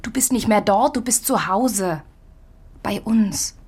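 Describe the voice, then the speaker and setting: An actress playing a woman who softly consoles her partner after his return home, often in a whisper. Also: medium pitch, slow, slightly rough. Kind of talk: radio drama acting.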